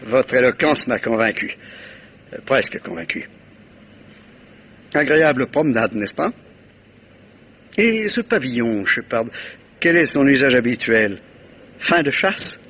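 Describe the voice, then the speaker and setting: An actor playing a shrewd, self-satisfied, courteous middle-aged detective in a vintage French radio mystery, with theatrical, pointed pauses. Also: male voice, mid-range voice, expressive, fairly bright tone. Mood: urbane, amused.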